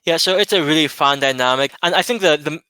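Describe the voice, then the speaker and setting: A man co-hosting a casual chat, giving his opinions in a steady, easygoing way. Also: fairly high pitch, fast talker, smooth, no echo. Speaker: podcast co-host.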